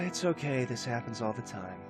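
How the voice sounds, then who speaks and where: A man performs a meek, resigned soldier in a fantasy audio drama. He speaks quietly and apologetically, nearly buried beneath loud plucked-string music. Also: mid-range voice, very animated, normal speed, close to an everyday voice.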